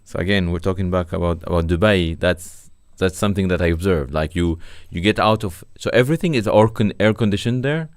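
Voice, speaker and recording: A man's voice: low-pitched, brisk, hardly any pauses, no room sound, studio quality. A man co-hosting a meetup livestream in casual chat, hesitant, often repeating words while he searches for phrasing. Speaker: co-host of a company meetup livestream. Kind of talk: casual chat, reading out where viewers join from.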